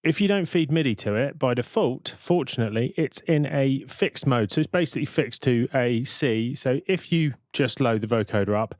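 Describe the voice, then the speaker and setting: A man giving a casual, matter-of-fact software tutorial as a plain-spoken voice-over. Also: medium pitch, lively intonation, moderate pace, few pauses, dry recording. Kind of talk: casual tutorial narration over screen recording.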